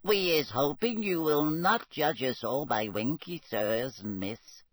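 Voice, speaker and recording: A timid little house elf, squeaky and high, bowing and scraping in broken grammar, as a man puts him on. Its words come slow and breathy. The sound is close and dry. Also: expressive, no echo. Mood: servile. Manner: meek, servile, broken grammar.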